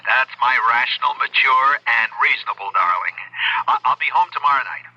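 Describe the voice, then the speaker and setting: In a lo-fi vintage radio recording, an affectionate, earnest husband devoted to his career. His mid-pitched voice is very sharp and forward, with animated radio drama phrasing. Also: fairly quick, full character voice. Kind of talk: old-time radio drama acting. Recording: thin, dull old radio recording.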